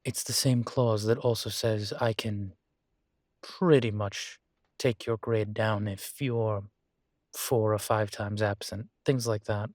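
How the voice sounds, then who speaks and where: A man acting as a composed, courteous college professor in an intimate audio roleplay, speaking with measured, formal politeness and dry wit. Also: on the low side, steady tone, darkish tone, no room sound. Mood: dry, matter-of-fact. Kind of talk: intimate scripted one-sided roleplay dialogue.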